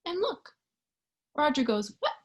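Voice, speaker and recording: High, very animated woman reading to children. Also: unhurried. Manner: expressive, child-directed, with questions and sound effects. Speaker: storytime reader for young children. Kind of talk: animated children's picture book read-aloud.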